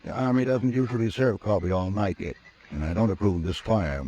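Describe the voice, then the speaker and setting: A man's voice: on the low side, brisk, dark tone, slight background noise, close to an everyday voice. A man playing a stiff army major. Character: stiff, formal middle-aged male army major. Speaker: army officer. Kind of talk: 1950s radio drama acting. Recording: dull old radio broadcast recording.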